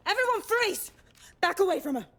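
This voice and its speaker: A woman's voice: very high voice, lively intonation, brisk, fairly bright tone. An actress playing a commanding, terse female police inspector in a video game cutscene. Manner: authoritative, terse.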